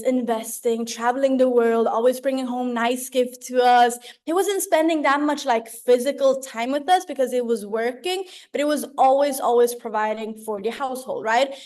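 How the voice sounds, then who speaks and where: A woman, giving an informal, slangy motivational training on a video call in a chatty, animated way. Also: fairly high pitch, normal speed. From Sweden. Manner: chatty, animated, slangy, checks in with "right?" and "you know?". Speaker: mentor leading an online training.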